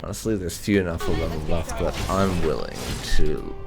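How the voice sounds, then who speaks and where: A man's voice: low voice, moderate pace, creaky, over loud music. A man hosting a gaming playthrough, giving dry, sardonic roleplay commentary and reading dialogue choices aloud. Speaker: gaming channel host.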